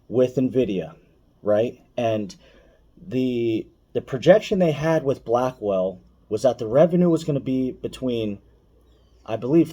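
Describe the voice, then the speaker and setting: A man's voice: on the low side, mellow. A man giving casual, opinionated finance commentary for online viewers, speaking emphatically, repeating key points and addressing the listener directly.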